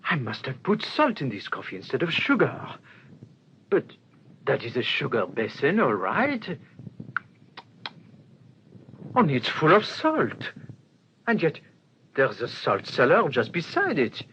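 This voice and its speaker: Self-assured police detective, radio crime drama, very lively intonation, muffled sound. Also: male voice, medium pitch, measured pace, full character voice. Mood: puzzled musing. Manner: theatrical, musing then demanding. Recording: dull old radio recording, faint hiss.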